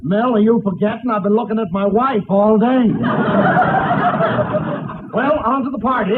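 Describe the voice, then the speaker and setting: An actor playing a blustering, pompous henpecked husband who complains and exclaims in 1940s radio comedy style. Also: male voice, sits high, moderate pace, phone-quality sound, lightly acted. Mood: jovial greeting. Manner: complaining, exclamatory comic delivery. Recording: noisy, thin old-time radio recording.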